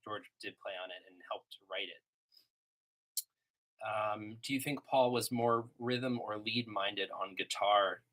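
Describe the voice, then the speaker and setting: Sniffly man with a low voice. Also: takes it slowly, rough recording. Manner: relaxed, rambling, many "um"s and restarts. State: has a cold, a little sniffly. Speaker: livestream host answering chat questions. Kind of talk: casual livestream Q&A. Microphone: iMac desktop microphone. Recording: home desktop computer recording. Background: guitar playing between answers.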